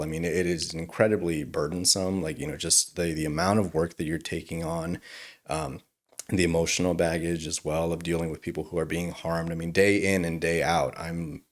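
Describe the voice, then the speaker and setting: A young man who is a guest in a casual interview, speaking earnestly and reflectively and laughing easily. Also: sits low. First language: English. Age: young adult. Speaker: attorney guest.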